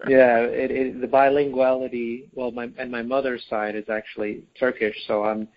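Relaxed male guest from Spain. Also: medium pitch, moderate pace. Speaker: guest, company founder. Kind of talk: casual interview chat. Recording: dull lo-fi recording.

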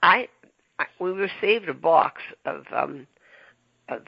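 A man hosting a casual interview, talking haltingly with many ums and restarts. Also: a little high, expressive, creaky, breathy edge, no echo. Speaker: podcast host. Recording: dull lo-fi dry recording.

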